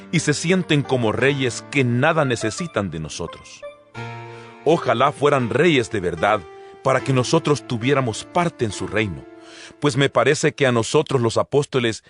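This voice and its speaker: An actor playing the earnest, exhorting apostle Paul in a dramatized Bible reading, solemn and measured, with rhetorical delivery. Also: male voice, mid-range voice, natural voice. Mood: reproachful. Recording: music bed behind the voice.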